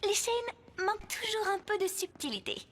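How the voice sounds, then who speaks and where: An actress playing a sensible, wry young sidekick in a dubbed cartoon. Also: female voice, extremely high pitch, big pitch swings, moderate pace, fairly bright tone, a little hiss or hum, heavily acted. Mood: confident. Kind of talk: cartoon dub acting.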